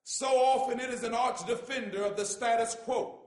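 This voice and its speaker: A man reads aloud in an oratorical style, his voice set unusually high. The delivery is solemn, measured and impassioned, and the room adds a clear echo. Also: steady tone. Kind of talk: oratorical reading of a famous letter. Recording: echoey room.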